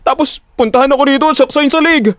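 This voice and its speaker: A man with a Filipino accent playing a jittery, vain but good-natured young everyman who tells his own story in an audio drama, expressive and dramatic. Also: extremely high pitch, quick pace, no room sound, studio quality. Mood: panicked. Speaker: narrator and lead actor. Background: music.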